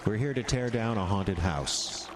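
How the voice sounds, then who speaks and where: An actor playing a blunt, sarcastic government bureaucrat in a radio drama, with storm effects behind. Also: male voice, on the low side, expressive, a little slow, noisy background. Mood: irritated, sarcastic. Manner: sarcastic, argumentative.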